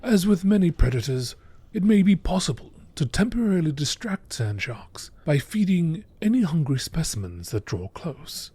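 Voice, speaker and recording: A man reading scripted text as a narrator in nature documentary style, with a measured, matter-of-fact, formal delivery. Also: fairly high pitch, big pitch swings, mellow, breathy edge, no room sound. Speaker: narrator reading out game lore.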